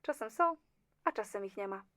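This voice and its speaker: A woman's voice: mid-range voice, lively intonation, moderate pace, a bit bright, no room sound, lightly acted. A woman playing a chatty, nonchalant and slightly condescending office clerk in an audio drama. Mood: smug, dismissive. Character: breezy, self-serving female office clerk in communist-era Poland.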